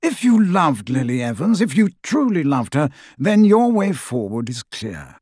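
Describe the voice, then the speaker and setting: Audiobook role: cool, grave old wizard man performing, reproving yet composed, breathy-rough voice in a dry booth. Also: on the high side, very animated, no echo, voice pushed up. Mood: cold, firm. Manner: measured, cool, gently reproving. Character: calm, stern, wise old male headmaster wizard.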